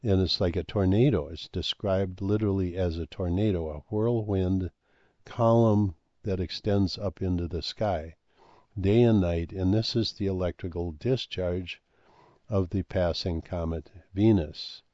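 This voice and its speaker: Deep, dark-voiced older man, hoarse. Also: no room sound, muffled recording. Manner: halting, many fillers and restarts. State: losing his voice. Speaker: speaker on ancient history and comets. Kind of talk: rambling monologue.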